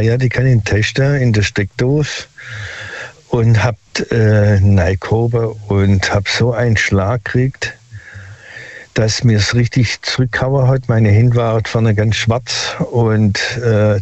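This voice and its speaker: An older man calls in and tells his story haltingly, with many filler sounds. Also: on the low side, fairly even intonation, darkish tone, no echo. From Stuttgart area. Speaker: caller. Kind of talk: phone-in storytelling. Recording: dull lo-fi phone line.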